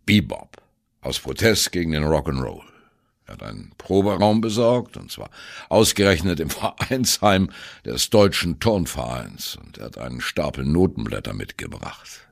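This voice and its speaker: A male actor plays a disillusioned older jazzman looking back on his band years. His very low voice crackles with fry and moves in lively swings of pitch. The telling is wry, musing and conversational, as in a first-person radio drama. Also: moderate pace, heavily acted. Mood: wry reminiscing. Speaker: narrator of an audio play. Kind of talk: first-person reminiscing narration in a radio play.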